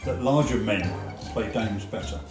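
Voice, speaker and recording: A man giving halting, conversational explanations as an interviewed stage performer. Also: lowish voice, recorded from a distance. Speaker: pantomime performer being interviewed. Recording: echoey room, music behind.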